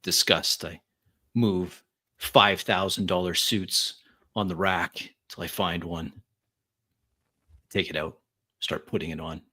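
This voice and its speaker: A man playing a tabletop roleplay, narrating in the first person in halting, deliberate, brooding phrases. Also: low-pitched, steady tone, pushed and bright, breathy edge. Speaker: roleplay player.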